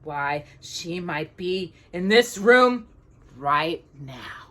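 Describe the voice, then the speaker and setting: A woman voicing a surly little dwarf man with a gruff, grumbling manner. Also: on the low side, lively intonation, very slow.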